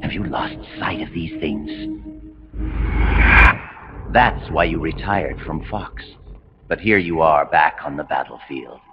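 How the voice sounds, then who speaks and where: An actor playing a cold, commanding rogue officer in a video game, speaking in measured, imperious monologues. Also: male voice, a bass voice, heavily acted. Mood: calm, lecturing. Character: cold, commanding male rogue officer, grandiose engineered super-soldier. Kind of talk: dramatic video game voice acting. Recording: dull compressed game audio under loud music.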